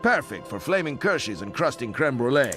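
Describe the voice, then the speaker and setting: A vain, blue-blooded French horse from a video game acted by a man, talking down to everyone in an affected French accent. The delivery is pompous and very animated, over music. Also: medium pitch, moderate pace, close to an everyday voice. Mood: boastful. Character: vain, haughty aristocratic French stallion, male. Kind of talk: video game cutscene acting.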